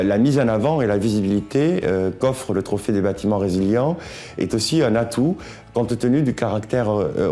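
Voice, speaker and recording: A man presenting a project as an architect, explaining in deliberate, halting phrases. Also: fairly low pitch, warm tone.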